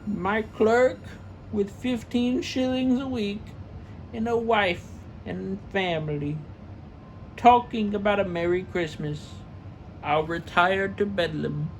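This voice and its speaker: A woman's voice: medium pitch, slow, a little hiss or hum, poor audio. A woman voicing a miserly, grumpy old man in a storybook reading, curt, scornful and dismissive. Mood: muttering, scornful.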